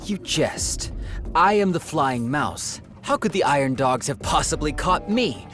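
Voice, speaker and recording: Video game mouse burglar, self-important braggart, big pitch swings, theatrical. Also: male voice, very animated, unhurried, over music. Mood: boastful. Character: boastful, theatrical master-thief mouse. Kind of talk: video game cutscene acting.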